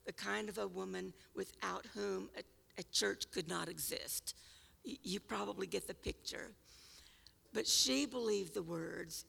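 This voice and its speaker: An older woman from California with a raspy voice, unhurriedly telling a personal story about her childhood church. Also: mid-range voice, a bit bright, long reverb tail. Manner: unhurried, reflective, with small restarts. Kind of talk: personal reminiscence.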